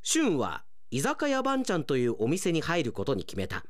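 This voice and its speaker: A man's voice: on the high side, huge pitch swings, fairly quick. A man, an announcer, giving dramatic scripted narration for a radio drama.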